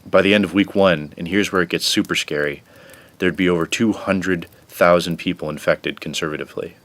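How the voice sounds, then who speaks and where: Low-voiced nerdy science whiz. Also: male voice, steady tone, a little fast, fairly bright tone. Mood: grim, explanatory. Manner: explanatory, precise, hesitant. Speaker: actor in an audio drama. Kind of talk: radio drama acting.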